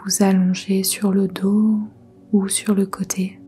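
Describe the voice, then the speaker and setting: A woman leading a guided yoga nidra meditation in a soft, breathy near-whisper, soothing and gentle. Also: mid-range voice, little pitch movement, faint music behind. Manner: soothing, gentle, unhurried instruction.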